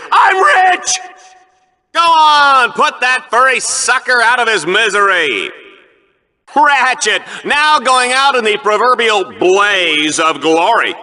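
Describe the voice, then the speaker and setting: Bright, bombastic arena commentator. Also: male voice, extremely high pitch, full character voice. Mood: gleeful, mocking. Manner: theatrical, hammy, exaggerated announcer delivery. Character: smug, vain, bloodthirsty male TV arena commentator. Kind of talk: bombastic game-show sports commentary.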